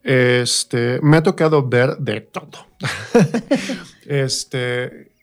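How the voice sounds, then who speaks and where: A man with a Mexican accent and a mid-range voice speaks as an interview guest. His delivery is colloquial and reflective, like someone thinking through ideas in a relaxed chat. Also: medium pitch, extremely slow. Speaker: interview guest, stage actor. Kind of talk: casual interview conversation.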